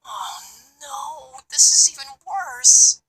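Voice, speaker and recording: A man voicing a nervous, soft-spoken young male college student in a visual novel game, with a breathy, hesitant delivery. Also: very high voice, big pitch swings, takes it slowly, voice pushed up, full character voice, poor audio. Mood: frightened. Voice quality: breathy, whispery.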